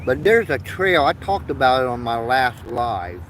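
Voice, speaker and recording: A man's voice: medium pitch, sing-song, a little slow, slight background noise, low-quality recording. A man in his fifties, born in Vietnam and raised in the United States, talking earnestly in a rambling livestream, often stopping to repeat himself. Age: 50s. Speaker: livestream host. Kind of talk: rambling casual livestream monologue.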